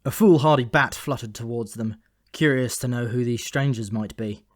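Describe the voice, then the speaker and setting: A man reads a comic fantasy novel as an audiobook narrator. His voice sits a little on the high side of middle or at middle pitch, and the recording is dry with no room sound. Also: normal speed, no room sound.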